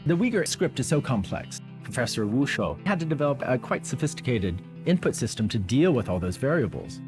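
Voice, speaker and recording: An American man, a historian, presenting and explaining things in a documentary in a measured way. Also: medium pitch, very animated. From United States. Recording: music bed under the voice.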